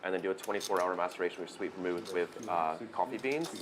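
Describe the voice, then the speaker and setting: A man with a Canadian accent chatting casually at a poker table, relaxed and full of fillers. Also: low-pitched, fast, background noise. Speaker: poker player.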